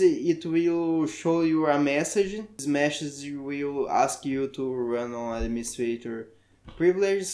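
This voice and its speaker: A man from Brazil with a Brazilian Portuguese accent, a native Portuguese speaker, talking through a software tutorial in halting English and searching for words. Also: medium pitch, reverberant. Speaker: tech tutorial maker. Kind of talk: screen-recorded software tutorial.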